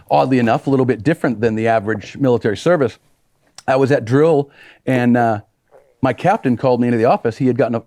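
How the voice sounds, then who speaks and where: A man's voice: mid-range voice, warm tone, no room sound, studio quality. A man from New Hampshire, a congressional candidate, telling his story in an earnest, rambling way with fillers. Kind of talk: interview storytelling. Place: small TV studio.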